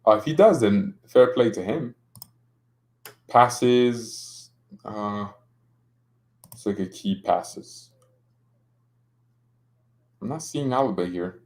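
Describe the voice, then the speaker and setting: A man's voice: fairly low pitch, takes it slowly, long pauses, a little mellow. A young man casually streaming about football, joking around and reading out chat comments. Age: young adult.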